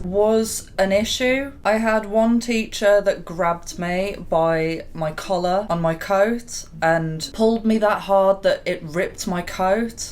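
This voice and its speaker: A young woman from the UK with a deep voice, candidly telling her own story to camera as a YouTuber. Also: darkish tone. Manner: candid and reflective, with small laughs.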